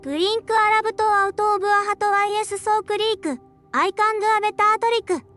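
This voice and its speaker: A tiny candy bunny girl with an extremely high, squeaky voice. She is a cheeky cartoon show-off, with music playing behind her. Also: fairly even intonation, unhurried, fairly bright tone. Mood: boastful. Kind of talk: cartoon character on a game stream.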